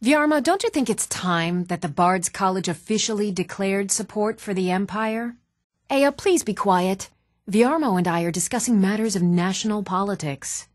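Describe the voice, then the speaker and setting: An actress playing an adult townswoman in a fantasy role-playing game, speaking in an even, measured, clearly enunciated way. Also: mid-range voice, no echo, studio quality, lightly acted. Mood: formal, firm. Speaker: video game voice actor. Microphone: close, dry studio microphone.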